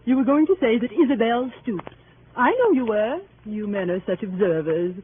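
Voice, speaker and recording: An actress in 1930s radio style playing a simpering, flirtatious and catty spinster aunt with an affected, gushing manner. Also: female voice, a little high, animated, normal speed, narrow, tinny audio, lightly acted. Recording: thin, noisy 1938 radio broadcast.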